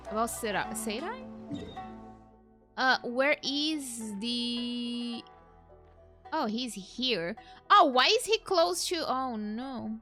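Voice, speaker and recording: High-pitched Brazilian woman streaming a game. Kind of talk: casual let's-play commentary. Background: video game music.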